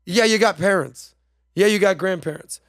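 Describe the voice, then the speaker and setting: A man's voice: high-pitched, moderate pace, fairly bright tone, no room sound, studio quality. A man hosting a podcast, talking in a casual, emphatic and slangy way while commenting on played clips. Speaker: podcast host.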